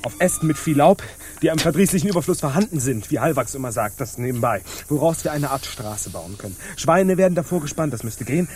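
An actor playing a pedantic, self-important pirate in a radio play, speaking in stilted, over-precise, affronted sentences. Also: male voice, fairly high pitch, animated, fast talker, darkish tone, a little hiss or hum, natural voice. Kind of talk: stage-like radio play acting.

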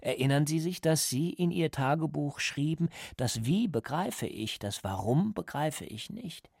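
An actor playing a calm, cold party interrogator in a radio drama, speaking in a measured, lecturing way. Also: male voice, fairly high pitch, creaky, dry recording, studio quality, heavily acted. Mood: patient, instructive. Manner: measured, didactic.

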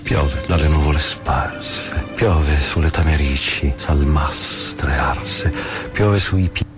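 A man's voice: very deep voice, slow. A man playing a solemn weather announcer who declaims poetry for a radio parody. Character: solemn male weather announcer reciting verse. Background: music.

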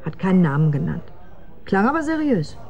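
An actress playing a detective's loyal, plain-spoken secretary in a radio drama, casual and direct. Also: female voice, on the low side, big pitch swings, brisk, a little mellow, dull audio. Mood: casual, apologetic.

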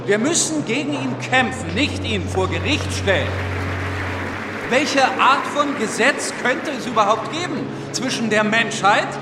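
Very high-pitched uncompromising young orator. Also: male voice, lively intonation, unhurried. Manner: declamatory. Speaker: actor in a radio drama. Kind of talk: radio drama political oratory. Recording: roomy, distant, loud crowd noise.

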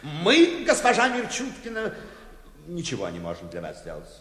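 An actor playing a flustered, pompous bank director in a comic radio play, formal, emphatic and politely exasperated. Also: male voice, a little high, wildly swooping pitch, normal speed, a bit bright. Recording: echoey hall reverb.

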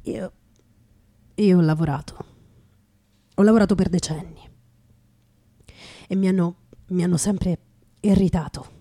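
A woman playing a weary, bitter working man in a radio theatre monologue, husky and breathy, muttering haltingly and often dropping to a whisper. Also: lowish voice, mellow. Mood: bitter, anguished. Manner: halting, repeating words, muttering.

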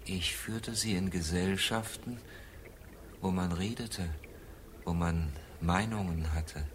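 A slow, deep-voiced melancholy man. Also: a little hiss or hum. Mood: brooding, resigned. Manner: deliberate, measured phrasing with pauses. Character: melancholy man morbidly confessing an inner deadness. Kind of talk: slow literary radio drama monologue.

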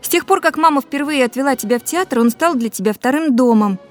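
A woman's voice: sits high, lively intonation, fast, faint music behind. An actress playing an affectionately teasing, chiding woman in an audio drama. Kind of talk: Russian audio drama acting.

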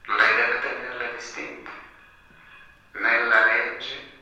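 An actor playing a grave, measured man of the law in a radio drama, explaining things deliberately and didactically. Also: mid-range voice, flat delivery, pushed and bright, dull audio, exaggerated voice. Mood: grave, instructive. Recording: very echoey, distant from mic.

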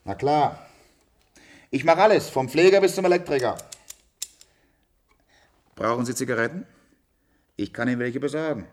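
Man acting as chummy nosy handyman, mid-pitched voice, blunt and colloquial, german sci-fi radio play. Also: normal speed, long pauses, darkish tone, close to an everyday voice. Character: chummy, nosy institute handyman, matter-of-fact working man. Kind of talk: German science-fiction radio drama acting.

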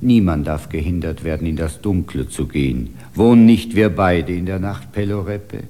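An actor playing an old, wise bear who lives as a hermit, speaking calmly and philosophically in a classic radio play. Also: male voice, sits low, moderate pace, lightly acted. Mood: solemn. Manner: calm, questioning, philosophical.

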